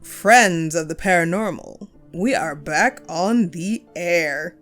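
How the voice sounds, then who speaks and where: Woman playing a friendly ghost radio host, lowish voice. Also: slow pace, a bit bright, soft background music. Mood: excited, playful. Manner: playful, conversational, self-deprecating, breaks into chuckles. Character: friendly, wistful female radio-host ghost, chatty paranormal enthusiast. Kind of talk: intimate scripted audio roleplay.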